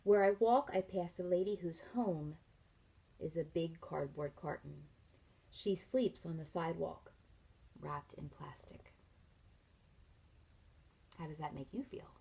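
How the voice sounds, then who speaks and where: A middle-aged woman, a teacher reading a picture book aloud to children, expressively and with pauses to ask them leading questions. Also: sits low, creaky, warm tone, slightly rough, rough recording. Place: small furnished room at home, indoors.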